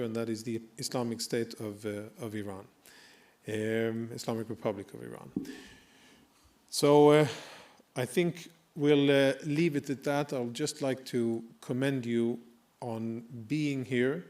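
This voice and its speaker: A man who grew up in Sweden giving an earnest dinner speech, full of pauses, fillers and restarts. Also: medium pitch, a little mellow. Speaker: guest speaker. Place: banquet hall.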